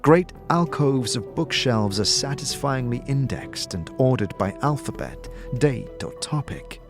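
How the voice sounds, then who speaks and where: A man's voice: mid-range voice, big pitch swings, unhurried, music in the background. A man reading scripted fantasy narration in the second person, as a game master telling a story.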